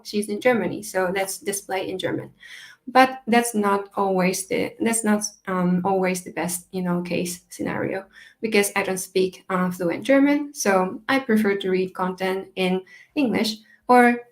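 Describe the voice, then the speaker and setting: A woman whose first language is Japanese, giving a technical conference talk in a hesitant way with many fillers and restarts. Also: medium pitch. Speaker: conference speaker.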